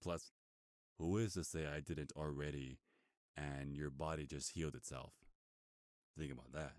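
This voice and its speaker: A cocky werewolf hunter acted by a man with an extremely deep, booming voice, recorded dry and close. He taunts his captive with slow, smug menace in an ASMR roleplay. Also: steady tone, darkish tone, no room sound. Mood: mocking, menacing.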